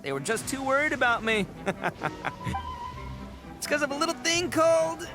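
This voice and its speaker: A cocky young oni ruffian from a video game, all bluster and stammered boasts. His pitch leaps around with great animation. Game music plays behind him. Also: animated, moderate pace. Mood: bluffing. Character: brash, blustering horned oni gang boss, young man.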